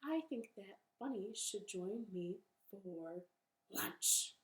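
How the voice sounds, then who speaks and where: A woman's voice: mid-range voice, lively intonation, slow. A woman voicing a sly, hungry male fox in a children's story, theatrical and easily frightened. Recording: roomy, echoing sound.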